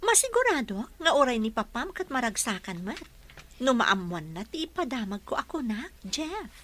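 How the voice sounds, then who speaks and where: High, bright Ilocano female lead, sharp, commanding mistress of the house, radio drama. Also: very animated, normal speed. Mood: happy, coaxing. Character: pregnant woman, sharp and commanding to household help.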